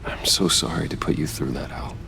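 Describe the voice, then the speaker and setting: Brooding crime fighter, rock-bottom pitch, gritty creak, controlled delivery, video game drama. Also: male voice, fairly even intonation, brisk, noisy background, exaggerated voice. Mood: gently reassuring. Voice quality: deep gravelly voice. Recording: loud music under the voice.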